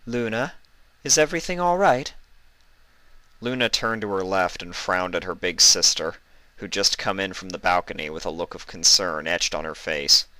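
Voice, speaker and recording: A man reading stories as a host, with expressive narration into a close microphone. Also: deep voice, no echo. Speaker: story reader and channel host. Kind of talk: expressive scripted storybook narration with casual introduction.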